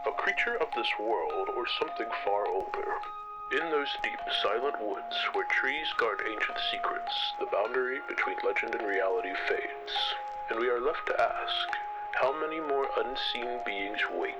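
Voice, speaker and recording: A man delivers dramatic scripted mystery narration in an ominous, portentous, hushed manner. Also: medium pitch, expressive, moderate pace. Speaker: narrator of the closing commentary. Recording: dull band-limited sound under loud music. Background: loud music.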